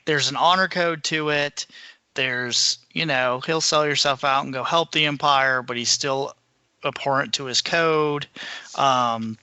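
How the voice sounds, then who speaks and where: Male podcast panelist, strikingly bright and forward tone, mid pitch, casual piecemeal delivery. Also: no echo. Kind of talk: casual chat.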